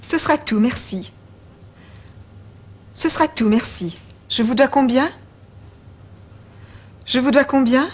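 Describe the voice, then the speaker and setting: A woman presenting a language course, reading phrases slowly and clearly as models to repeat. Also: sits high, a little hiss or hum. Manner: clear, deliberate model pronunciation. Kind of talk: slow scripted teaching narration.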